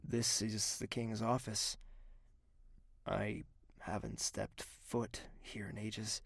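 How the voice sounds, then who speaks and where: Young king for audio drama: grieving and introspective, slow gravelly delivery, close dry sound. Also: lowish voice, little pitch movement, no echo, exaggerated voice. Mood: grieving, subdued. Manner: hushed, weary, measured delivery with sighs. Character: grieving young prince newly become king, weary and introspective. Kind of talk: dramatic first-person narration and dialogue in audio drama.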